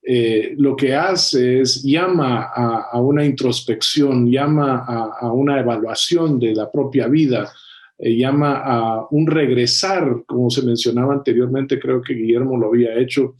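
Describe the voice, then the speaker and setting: A man speaking as a panel guest in an analytical discussion, thoughtful, with many filler pauses and self-corrections. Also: medium pitch, darkish tone.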